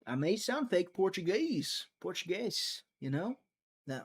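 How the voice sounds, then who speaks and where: A man hosting a live prediction stream, chatting casually, thinking out loud and talking to his viewers, sometimes trailing off into a mutter. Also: high-pitched, very animated, unhurried, breathy edge. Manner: chatty, thinks aloud, talks to chat.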